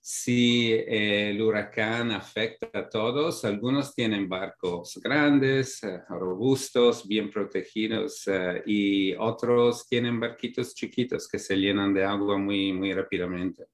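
A middle-aged European man with a fairly low voice speaks as an academic guest. He explains things slowly, pausing on fillers and restarting his phrases as he works toward each point. He speaks in a language that is not his first. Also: fairly even intonation. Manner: measured, thoughtful, many fillers and restarts. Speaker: academic guest, migration researcher. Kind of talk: interview answers, explanatory.

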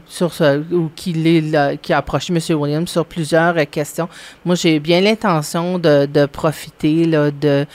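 A correspondent chats on the radio, halting often and restarting sentences. The pitch sits right in the middle range. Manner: hesitant, many fillers and restarts. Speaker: regional correspondent. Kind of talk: radio chat.

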